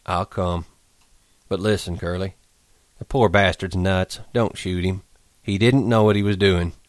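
A man voicing a weary, grieving farmhand who speaks softly and hesitantly. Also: low voice, steady tone, measured pace, no room sound, clean studio sound. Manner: soft, weak, hesitant.

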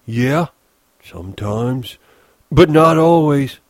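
A man's voice: slow, no echo. A man reading a story, voicing a big simple-minded grown man who talks eagerly and childishly. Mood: pleading. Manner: pleading, eager, rises high when excited.

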